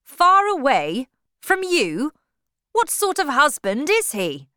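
A woman voicing an intense, remorseful young gentleman turned preacher in an audiobook, pressing his case earnestly. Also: medium pitch, lively intonation, unhurried, clear, resonant tone, no echo. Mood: angry disbelief.

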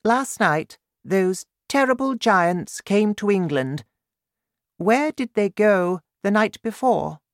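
A reader putting on a high, regal Queen. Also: expressive, takes it slowly, no echo, clean studio sound. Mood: commanding, concerned. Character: commanding, regal Queen, grown woman, polite, brisk.

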